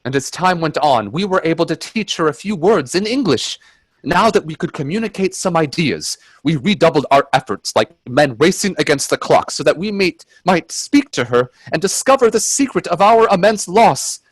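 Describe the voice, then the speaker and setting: Casting: male actor as cautious Edwardian time traveller, earnest, slightly high animated voice, close dry sound, radio drama. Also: moderate pace, no echo, low-quality recording. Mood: reflective narration. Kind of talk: radio play acting and narration.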